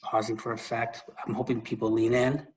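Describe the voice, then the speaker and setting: A man with a middling-pitched voice hosts a relaxed book discussion. He talks conversationally but leans on key points, pausing for dramatic effect. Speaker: podcast host.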